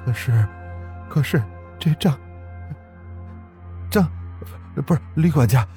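A man playing a frightened male servant in an audio ghost story, stammering and halting as he speaks. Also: medium pitch, animated, dark tone, soft background music, voice pushed up. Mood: terrified. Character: terrified male servant, usually bold, now stammering.

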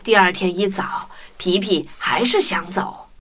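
A woman's voice: fairly low pitch, unhurried, a bit bright. A woman narrating a children's story expressively. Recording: music under the voice.

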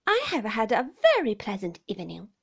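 A woman voicing an emotional young mother, tearful and defensive, pleading in a high, shrill voice. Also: huge pitch swings, fairly quick, creaky, breathy edge, full character voice. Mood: pleasant.

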